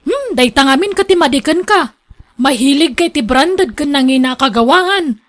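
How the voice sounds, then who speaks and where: Fed-up thrifty ilocano wife, scolding, pretty high pitched, radio drama. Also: female voice, expressive, normal speed, a bit bright. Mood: exasperated. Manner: scolding, reproachful. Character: nagging, thrifty wife and mother, exasperated with vain husband.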